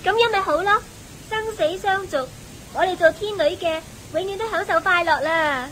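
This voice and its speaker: A woman's voice: very high voice, normal speed, fairly bright tone, rough recording. An actress playing a young, innocent, curious heavenly maiden with a girlish, questioning manner in a radio drama. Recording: faint hiss and ambience.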